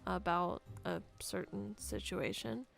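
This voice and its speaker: A woman playing a troubled young camp girl in an improvised game roleplay, speaking haltingly and trailing off as she confides. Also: mid-range voice, steady tone, gravelly, fairly bright tone, faint music behind, full character voice. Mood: confiding, uneasy. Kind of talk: improvised Minecraft roleplay dialogue.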